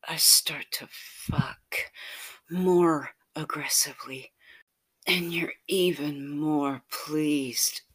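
A breathy, husky narrator drifts in and out of whisper while telling an intimate story. The pace is extremely slow and lingering, the tone bright and a little tense. The sound is close and dry, with no room around it. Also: mid-range voice, no echo. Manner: slow, soft, lingering delivery.

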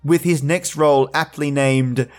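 A man's voice: fairly high pitch, fairly even intonation, measured pace, soft background music. A man hosting a podcast, talking to his listeners in conversational commentary. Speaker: podcast host.

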